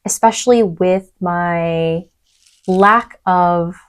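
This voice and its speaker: A young adult woman lecturer who grew up in East Africa, speaking thoughtfully in a podcast interview with frequent pauses and "um"s. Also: lowish voice, smooth. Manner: thoughtful, soft-spoken, many "um"s. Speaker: university lecturer.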